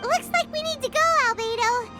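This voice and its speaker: A squeaky little floating companion. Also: female voice, a little fast. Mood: curious. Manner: squeaky, childlike, gasping. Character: tiny floating female companion, curious and excitable. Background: loud game music.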